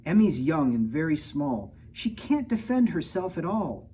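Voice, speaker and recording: A protective mother from a children's storybook, read by a man, firm and measured as she chides. The voice is lifted in pitch and mellow, with slight noise behind. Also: very animated. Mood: chiding. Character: firm, protective mother gently scolding her older children.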